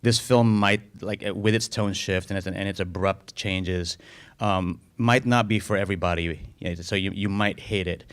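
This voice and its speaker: A man's voice: low voice, fairly even intonation, moderate pace, fairly bright tone, clean tone. A man answering audience questions as a film director, casual and rambling, often restarting and repeating words. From United States.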